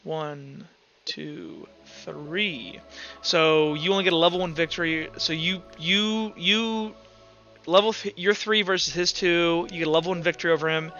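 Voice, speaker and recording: A man's voice: on the high side, unhurried, dull audio, over music. A man running a tabletop roleplaying game as game master, informal and sweary in how he talks.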